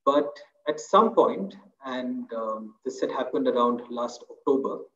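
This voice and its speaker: A man in his thirties speaks from a small room over a video call, talking at a mid pitch. His manner is thoughtful and loose, full of fillers and restarts. The audio sounds roomy and a little dull. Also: expressive, a little slow, fairly bright tone, a little hiss or hum. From India. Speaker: author. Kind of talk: webinar talk.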